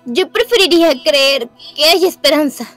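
A woman playing a stubborn, spirited young detective in a game, with a very high voice. She speaks Castilian and delivers lines dramatically over faint music. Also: animated, normal speed, a bit bright. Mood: wistful, hopeful. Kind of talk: live fan dubbing of a visual novel game.

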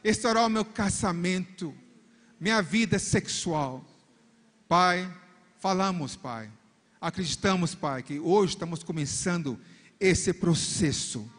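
A middle-aged man whose first language is English preaches in careful Portuguese, his voice on the higher side for a man. He prays fervently into a handheld mic, repeating "Father" between phrases. Long reverb from a big arena hangs on every line. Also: lively intonation, slow pace, a bit bright. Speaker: couple's coach. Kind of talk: preaching and prayer at a church conference. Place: large arena stage with a crowd. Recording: long reverb of a large hall.

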